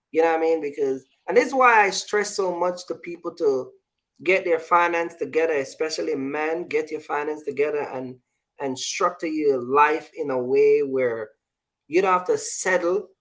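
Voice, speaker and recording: Male talk host, fairly high pitch, informal and opinionated, circles back over phrases. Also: a bit bright. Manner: repeats phrases, checks understanding often. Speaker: show host. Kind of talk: casual opinionated discussion.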